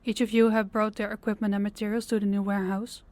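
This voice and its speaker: A woman's voice: medium pitch, flat delivery, darkish tone, dry recording, close to an everyday voice. Woman acting a commanding female crime boss. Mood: firm, commanding. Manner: authoritative, deliberate, clipped orders. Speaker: voice actor. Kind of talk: audio roleplay drama acting.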